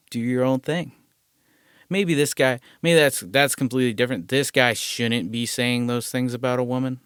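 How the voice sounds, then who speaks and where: A man hosting a casual solo podcast, rambling and profane with self-deprecating asides, recorded close on a mic in a small quiet room. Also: lowish voice, smooth, no room sound. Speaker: podcast host.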